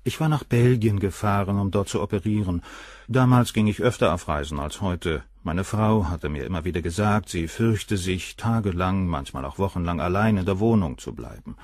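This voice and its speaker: A man's voice: low voice, moderate pace, a little mellow, no room sound, studio quality. An actor playing a proud, coldly condescending male surgeon in a crime radio play, speaking in a composed, articulate way. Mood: composed, matter-of-fact. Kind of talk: German radio crime drama acting.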